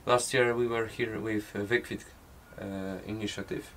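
A man's voice: lowish voice, little pitch movement, normal speed, a bit bright. A man with a Polish accent, chuckling often as he answers casually in an interview. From Poland. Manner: chuckles often while talking. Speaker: artist and gallery co-runner being interviewed. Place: inside a small old caravan. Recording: faint background ambient noise.

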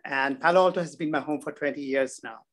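A man's voice: on the high side, quick pace, a bit bright. A man from India with an Indian accent, giving a spoken comment at a public meeting.

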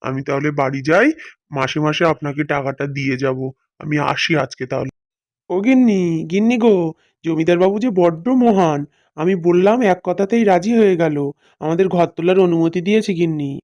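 A man's voice: fairly high pitch, moderate pace, a little mellow, no room sound, natural voice. A man voicing a humble, pleading poor father. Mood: earnest, then overjoyed.

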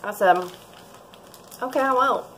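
A woman's voice: high-pitched, a little slow, fairly bright tone, slight background noise. Chatty woman in her forties. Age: 40s. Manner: chatty, rambling, laughs often. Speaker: vlogger. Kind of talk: casual cooking vlog chat. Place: home kitchen, indoors. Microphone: fixed camera at close range.

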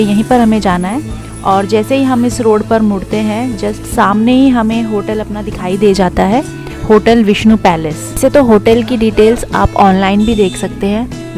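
A young woman, a travel vlogger, giving a chatty hotel tour voice-over and switching between Hindi and English phrases. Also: medium pitch.

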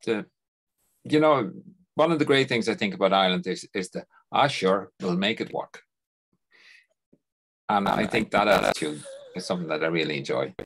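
Dutch-accented man, lowish voice, on a video call. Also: a bit bright, breathy edge, rough recording. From Netherlands. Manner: thoughtful, unhurried, repeats words as he starts sentences. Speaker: guest. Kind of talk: conversational interview. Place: home study lined with bookshelves.

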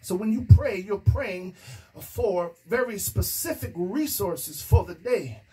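A man's voice: sits high, expressive, slow, warm tone, slightly rough, poor audio. A middle-aged male pastor preaching a sermon into a stand microphone in a small room, building emphasis and dropping in "amen" as he goes. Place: small indoor room against a plain wall. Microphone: stand microphone in front of mouth.

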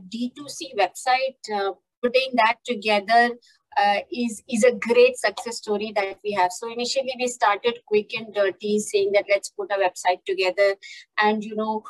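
A woman's voice: mid-range voice, rough recording. Indian woman speaking on a video-call panel. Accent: Indian English. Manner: fluent, with "you know" fillers. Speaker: panelist, company IT leader. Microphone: webcam on a video call.

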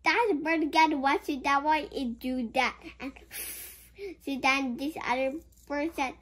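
A woman's voice: high-pitched, fairly even intonation, measured pace. A female host reading a picture book aloud for children, adding playful comments in a childlike, exclamatory, simple sing-song manner.

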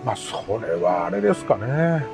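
A man's voice: a little high, sing-song, moderate pace, creaky, music in the background. A middle-aged man from Japan talking casually to camera as a hobbyist vlogger, in an easygoing, rambling, self-deprecating way. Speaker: amateur radio hobbyist vlogger. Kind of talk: casual vlog talk to camera.

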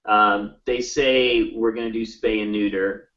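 A man's voice: fairly low pitch, fairly even intonation, measured pace, fairly bright tone. A man in his thirties answering as a podcast guest in a casual interview over a video call from home. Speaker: podcast guest, animal advocate. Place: small room at home. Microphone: video call on computer, off mic. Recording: distant, dull video call audio.

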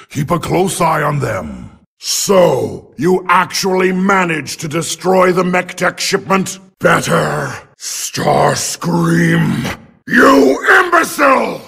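An actor playing a ruthless, imperious giant robot warlord in a video game, with a gravelly snarl and a menacing, grandiose manner. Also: male voice, fairly high pitch, very animated, takes it slowly, sharp tone, exaggerated voice. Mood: cold, scheming, then enraged. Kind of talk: video game villain acting.

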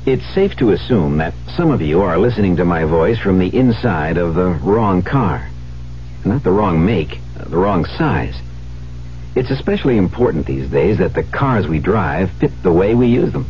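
A man's voice: low-pitched, very animated, normal speed, slight background noise. A man announcing a 1970s radio commercial in a scripted, conversational style. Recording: dull, humming 1970s radio recording.

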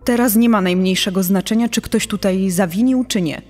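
A woman's voice: mid-range voice, a little fast, darkish tone, close to an everyday voice. A woman playing the forgiving, anguished mother of a missing boy in a true-crime podcast. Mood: pained, forgiving. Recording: long hall reverb, music underneath.

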